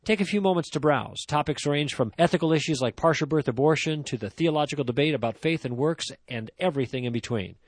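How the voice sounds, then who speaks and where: A man's voice: a little high, fast talker, hardly any pauses, no room sound. A male radio host reading a scripted ad in an earnest, persuasive tone.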